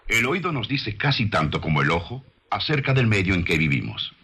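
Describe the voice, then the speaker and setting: A man narrating a scripted documentary in a solemn, measured, rhetorical manner. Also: fairly low pitch, very animated, heavy background noise.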